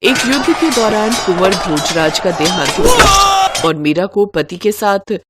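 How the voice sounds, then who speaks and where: A woman's voice: medium pitch, very animated, measured pace, over loud music. A woman storyteller reading a scripted devotional story.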